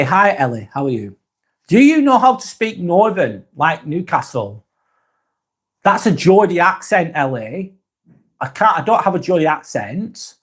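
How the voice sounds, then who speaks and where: A man from Lancashire with a Lancashire accent, hosting a casual live stream and reading viewer comments aloud in a soft, hesitant way. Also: on the high side, husky. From Lancashire, England.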